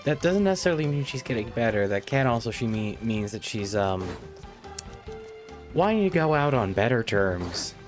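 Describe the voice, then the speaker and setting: A man's voice: medium pitch, big pitch swings, moderate pace. A man giving casual, off-the-cuff commentary while streaming a game. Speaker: live streamer playing a game. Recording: game music under the voice. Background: game music.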